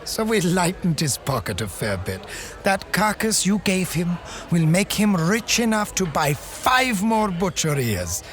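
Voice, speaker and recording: A man playing a wary, sharp-tongued companion. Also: sits high, very animated, a little slow, slight background noise, full character voice. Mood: needling. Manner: dry, cautious, needling. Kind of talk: audio drama acting.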